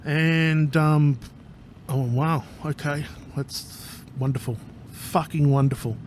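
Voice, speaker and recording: A man's voice: fairly high pitch, steady tone, takes it slowly, background noise. Swearing man, anxious and raw. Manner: profane, rambling and candid, with frequent swearing; anxious and agitated. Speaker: vlogger. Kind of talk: casual personal vlog.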